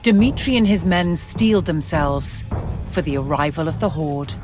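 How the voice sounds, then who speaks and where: A narrator delivering scripted, documentary-style storytelling for a game in a measured, dramatic manner. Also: medium pitch, expressive. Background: music.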